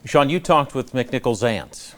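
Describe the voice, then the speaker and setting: A man's voice: medium pitch, animated, a little slow, a bit bright, slight background noise. A man with an American accent reading scripted broadcast news as an anchor.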